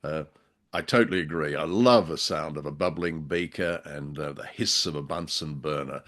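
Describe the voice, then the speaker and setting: A man's voice: extremely low pitch, normal speed, fairly bright tone. An older man co-hosting a podcast in casual chat, with a droll, hesitant and wordy way of talking. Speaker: podcast co-host. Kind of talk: casual chat about old radio drama.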